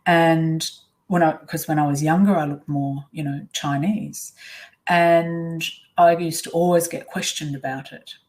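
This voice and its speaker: A middle-aged woman, whose was Swiss German, telling her own story in a webinar, candid and reflective with many pauses and ums. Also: low-pitched, fairly even intonation, darkish tone. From Australia. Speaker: webinar presenter.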